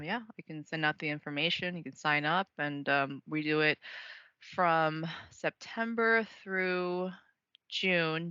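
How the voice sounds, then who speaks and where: A woman's voice: low-pitched, sharp tone, smooth, no room sound, lo-fi sound. A woman from Taiwan, an author and writing program organizer, talking enthusiastically in a casual interview with lots of fillers. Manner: chatty, enthusiastic, frequent fillers and laughs.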